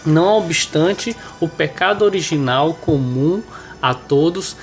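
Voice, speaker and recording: A Brazilian man with a nasal, hoarse voice, stuffed up with a cold, presenting and reading a religious book aloud. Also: a little high. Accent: Brazilian Portuguese. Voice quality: nasal, congested. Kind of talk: informal remarks and devotional reading aloud.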